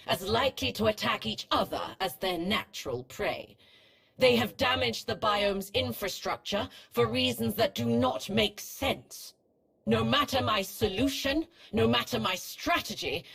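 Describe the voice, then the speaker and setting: A woman's voice: on the high side, a little slow, creaky, a bit bright, slightly rough, full character voice. A woman playing a cold, ruthless female Sith research hologram in a video game, speaking in a formal, detached, clinical manner. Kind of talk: scripted video game acting.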